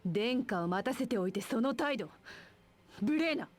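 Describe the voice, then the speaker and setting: A woman's voice: fairly high pitch, lively intonation, a little fast. Formal, loyal female military officer. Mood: indignant. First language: Japanese. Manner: formal, deferential. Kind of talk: Japanese game voice acting.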